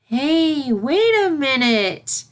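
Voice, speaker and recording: A woman voicing an excitable young unicorn filly with an eager, childlike manner in a story reading. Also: high voice, drawn-out words, pitched above the performer's own voice, full character voice. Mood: excited.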